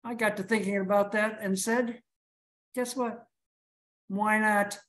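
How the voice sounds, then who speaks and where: A man's voice: high-pitched, level tone, a little slow, a bit bright. A man from Chicago giving a prepared speech to his club over a video call, telling his story with rhetorical questions. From Chicago, USA.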